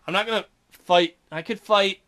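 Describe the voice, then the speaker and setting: A man's voice: high-pitched, steady tone, normal speed, pushed and bright. A man hosting a let's play, reading a visual novel aloud and cracking crude, offhand jokes. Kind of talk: casual gameplay commentary.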